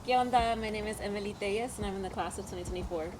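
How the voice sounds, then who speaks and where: A young woman from North Carolina speaking in a relaxed way, with "uh" and "um" fillers, as a veterinary student. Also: mid-range voice, rapid-fire. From North Carolina, United States. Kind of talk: casual group interview. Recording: clear background noise.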